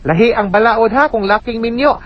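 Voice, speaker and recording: Muffled, slightly noisy radio recording, woman, Cebuano Filipino accent, high voice, emphatic explanatory advice-giving. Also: fairly quick. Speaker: radio legal advice host, attorney. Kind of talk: spoken legal advice to a radio listener.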